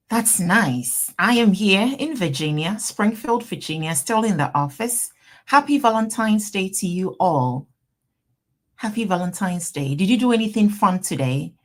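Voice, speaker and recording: A woman from Ghana with a Ghanaian accent, an attorney hosting a live Q&A stream from her office, greeting viewers warmly and repeating herself as they join. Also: mid-range voice, lively intonation, unhurried. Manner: upbeat, repetitive, greeting viewers by name and place. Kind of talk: casual livestream chat.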